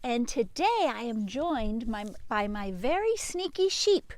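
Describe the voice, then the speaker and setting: Woman, high voice, very lively pitch swings, unhurried pace, playful children's storytime delivery. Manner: playful, expressive, with dramatic hushes and emphasis. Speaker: teacher reading aloud to children. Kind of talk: animated children's read-aloud, addressing young listeners.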